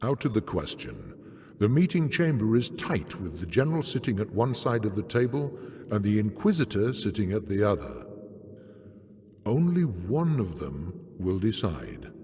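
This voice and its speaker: An actor playing a cynical, dismissive man in a video game cutscene, speaking in a theatrical, deliberate way. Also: fairly low pitch, big pitch swings, mellow. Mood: firm, ominous. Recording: long hall-like reverb.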